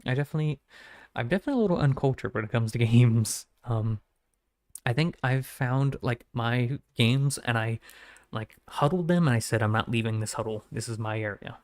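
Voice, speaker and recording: Monotone man, dry close sound. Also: medium pitch, brisk, no echo. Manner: monotone, rambling, self-correcting. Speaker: streamer. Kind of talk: casual stream sign-off chat.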